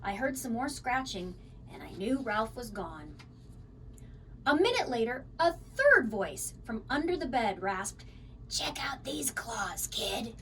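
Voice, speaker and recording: A woman reading a children's story aloud in an expressive, dramatic way. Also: on the high side, measured pace, recorded from a distance, slight background noise. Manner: animated, dramatic. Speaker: storybook reader.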